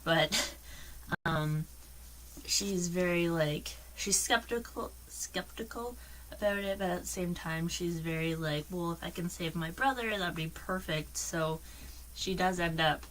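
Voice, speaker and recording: A young woman rambles through a casual book chat, full of fillers and "like," her voice slightly worn from a cold. She sits away from the mic, so she sounds a touch distant, with steady noise behind her. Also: lowish voice. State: losing her voice, getting sick. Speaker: book vlogger. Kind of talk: casual monthly reading wrap-up.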